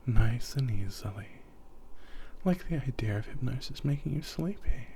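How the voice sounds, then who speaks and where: A man guiding a sleep hypnosis, talking softly and often half in a whisper, rambling and repeating himself. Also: mid-range voice, animated, normal speed, slight background noise.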